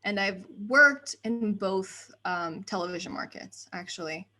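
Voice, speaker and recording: A woman in her thirties, raised in the United States, chatting as a guest on an interview show, laughing often and filling pauses with "um" and "like". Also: medium pitch, bright, forceful tone. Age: 30s. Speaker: guest, actor and writer. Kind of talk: casual interview chat.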